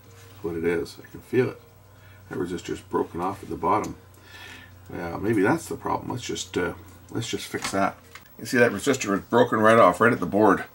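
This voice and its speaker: A man with a low voice works through a repair in his workshop, thinking out loud in a casual way. He often mutters to himself as he goes. A faint noise runs behind his voice. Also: normal speed, vocal fry. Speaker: electronics repairer hosting a repair video. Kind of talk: casual hands-on repair commentary, thinking aloud. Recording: faint steady background noise.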